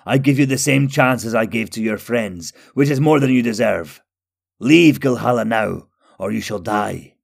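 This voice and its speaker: A man voicing an angry, commanding wizard who declaims forcefully. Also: on the low side, lively intonation, normal speed, hardly any pauses. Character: stern, angry male wizard, commanding and wrathful.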